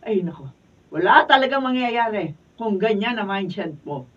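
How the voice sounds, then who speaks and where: Radio drama role: actress as stern, fed-up Filipino mother, husky voice, dull sound. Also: mid-range voice, expressive, moderate pace, natural voice. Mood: exasperated, admonishing. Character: exasperated, lecturing mother of a grown son. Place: radio drama recording studio. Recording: dull, distant behind-the-scenes studio video.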